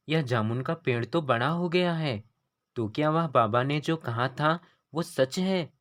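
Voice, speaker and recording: A man's voice: fairly high pitch, close to an everyday voice. Earnest young village man played by a man. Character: kind, humble poor young village man in a fable. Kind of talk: scripted cartoon story dialogue.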